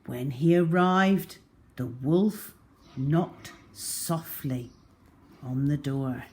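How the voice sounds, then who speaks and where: A woman telling a children's story in an expressive storybook narration style. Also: low voice, drawn-out words, slight background noise.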